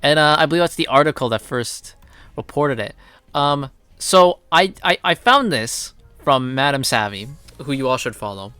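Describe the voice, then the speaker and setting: A man's voice: medium pitch, expressive, normal speed, sharp tone, smooth, no room sound, faint music behind. A man giving unscripted, opinionated commentary in a blunt, indignant manner with emphatic swearing. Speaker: commentary channel host.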